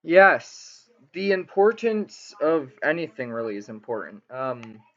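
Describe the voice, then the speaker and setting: A man with a fairly high voice hosts an informal interview. He rambles along in slangy, casual speech. The recording is slightly dull and lo-fi. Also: big pitch swings, unhurried, a bit bright. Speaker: interviewer, gaming channel host.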